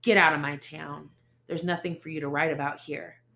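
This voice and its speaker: A woman voicing a gruff, bullying male cop. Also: on the high side, steady tone, a little slow, sharp tone, exaggerated voice. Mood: threatening. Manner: barking, hostile. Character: gruff aggressive male small-town detective.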